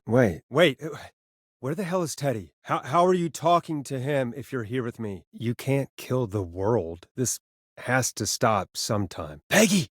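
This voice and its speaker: A man playing an earnest, rattled radio host in a video game, speaking in a halting, conversational way as he reasons with a killer. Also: medium pitch, slightly rough, no echo, clean studio sound. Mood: confused, alarmed, then tense, firm. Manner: halting, stammering, conversational delivery. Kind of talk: dramatic video game voice acting.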